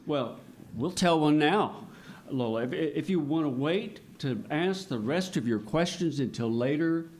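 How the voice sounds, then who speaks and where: A man with a somewhat high voice hosts a live children's audio theater show. He delivers scripted announcements and explains things in a patient, simple way. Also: lively intonation, unhurried, darkish tone. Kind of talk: scripted live stage presenting and dialogue.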